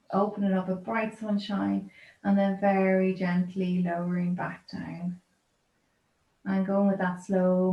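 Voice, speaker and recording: A woman yoga teacher guiding a relaxation in a gentle, soothing, unhurried and informal way. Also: medium pitch. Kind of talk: guided yoga relaxation instruction. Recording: roomy echo, dull lo-fi sound, clear background noise.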